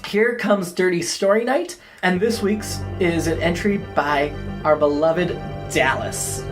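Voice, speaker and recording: A woman's voice: lowish voice, slow pace, music in the background. A woman host casually introducing performers at a live comedy show.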